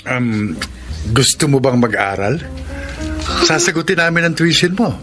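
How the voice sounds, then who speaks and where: A man's voice: medium pitch, animated, a little slow, lightly acted. An actor playing a kind, hesitant grown man, shy in love, with a Filipino accent in a radio drama. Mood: kindly. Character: kind, bashful long-single grown son, shy in love. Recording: radio drama with music underneath.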